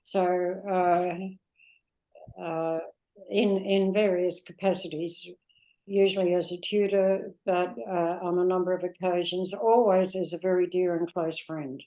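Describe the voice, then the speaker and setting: An older Australian woman with an Australian accent, a guest in an interview, reflecting unhurriedly with many pauses and fillers. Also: on the low side, level tone, dark tone, poor audio. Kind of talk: warm reflective interview talk.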